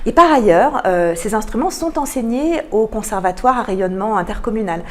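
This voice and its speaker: A woman speaks as a guest in a radio interview at a middling pitch. She explains things in an articulate way, with enthusiasm for what she presents. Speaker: guest, cultural programme manager.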